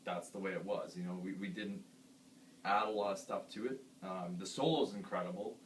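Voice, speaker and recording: A man who sings in a band, with a deep voice, chatting casually and earnestly about writing a song. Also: moderate pace. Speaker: band singer. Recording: echoey room, distant mic, faint hiss.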